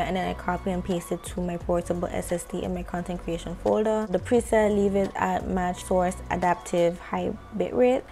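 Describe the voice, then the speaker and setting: A young woman with a West Indian accent, walking through a video editing tutorial in a casual, conversational way and articulating her words with care. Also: on the low side. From West Indies. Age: young adult. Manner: relaxed, conversational, careful articulation. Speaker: content creator tutorial host. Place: small indoor home studio. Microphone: handheld microphone close to mouth.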